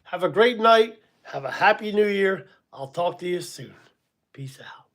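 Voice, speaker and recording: Man, 60s, relaxed livestream host, higher-pitched voice, rambling and chuckly. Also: unhurried. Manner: casual, rambling, chuckles at himself, many ums. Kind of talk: live stream chat with viewers.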